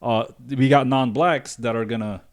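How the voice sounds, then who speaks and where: Guy from guyana, medium pitch, chatting opinions on a podcast, works through his points step by step. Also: fairly even intonation, normal speed, clean tone. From Guyana, moved north when young. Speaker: podcast co-host. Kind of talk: casual opinion discussion.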